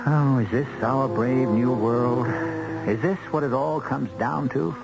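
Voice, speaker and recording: A man's voice: medium pitch, a little slow, vocal fry. A man hosting and narrating a radio drama, delivering a scripted introduction in a dramatic, wry, rhetorical manner. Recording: dull old radio broadcast, loud music. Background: music.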